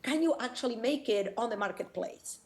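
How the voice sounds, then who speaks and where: A woman's voice: on the high side, animated, fairly quick, darkish tone. A woman from Argentina with an Argentine accent, a personal branding coach speaking eagerly in an interview over a video call.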